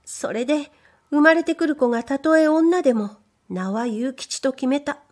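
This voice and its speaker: A woman voicing a shy young maidservant who speaks in a soft, bashful whisper. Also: high voice, moderate pace, voice pushed up, close to an everyday voice.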